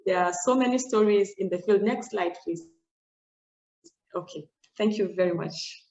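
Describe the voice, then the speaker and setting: A woman partner speaker presenting stories from the field with slides, earnest and measured. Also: mid-range voice, a little mellow, reverberant, highs cut off.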